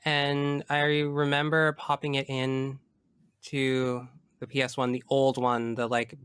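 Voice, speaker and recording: A woman's voice: very deep voice, flat delivery, slow pace, clean tone, dry recording, studio quality. A young woman chatting casually and emphatically as a guest in an interview. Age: young adult. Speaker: podcast guest. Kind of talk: casual interview chat about video games.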